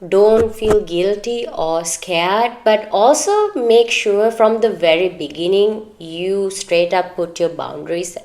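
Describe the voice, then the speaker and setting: A woman's voice: medium pitch, animated, slow, few pauses, a little mellow, rough recording. A woman co-hosting a podcast, chatting candidly and earnestly about personal matters, in a talkative, advice-giving way. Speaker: podcast co-host.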